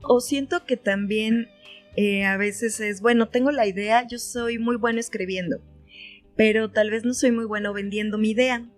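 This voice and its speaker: A woman's voice: a little high, fairly even intonation, normal speed, soft background music. A woman hosting a casual, conversational interview. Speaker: interview host.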